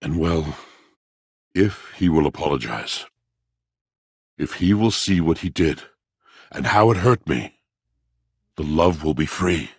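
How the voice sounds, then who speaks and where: Game role: aging lovelorn washing machine. Slow, theatrical, gravelly bass, very dry close sound. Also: male voice, expressive, no room sound, heavily acted. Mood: mournful, resolute. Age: older. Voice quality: deep, gravelly. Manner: theatrical, solemn, flowery, drawn-out delivery. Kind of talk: video game character acting.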